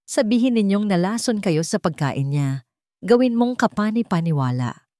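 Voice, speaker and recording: A woman's voice: mid-range voice, lively intonation, normal speed, warm tone, dry recording, studio quality, lightly acted. A woman voicing a cold, scheming, wealthy elderly villainess in a commanding, menacing way for a dramatic story.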